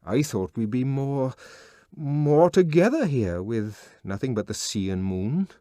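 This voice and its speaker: A man reading an audiobook and voicing a brooding, discontented young man who talks earnestly and at times shouts. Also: mid-range voice, very animated, a little slow, dry recording, clean studio sound, pitched above the performer's own voice. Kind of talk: audiobook character voice.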